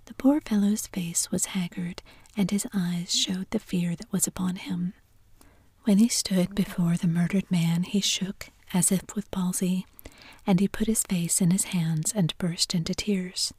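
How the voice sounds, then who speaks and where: A woman narrating a classic story entirely in a soft whisper. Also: lowish voice, steady tone, measured pace, breathy edge, dry recording. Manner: soft unvoiced whisper throughout.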